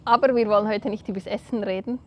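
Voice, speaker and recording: A woman's voice: medium pitch, steady tone, fast talker, slight background noise. A woman co-hosting a casual podcast, teasing and laughing often, recorded outdoors in a garden. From Switzerland. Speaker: podcast co-host. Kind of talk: casual chat.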